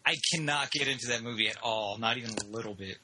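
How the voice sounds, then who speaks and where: A man's voice: medium pitch, quick pace, sharp tone, a little hiss or hum, poor audio. A man chatting casually with enthusiasm and strong opinions. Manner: enthusiastic, opinionated, says "dude". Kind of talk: casual chat.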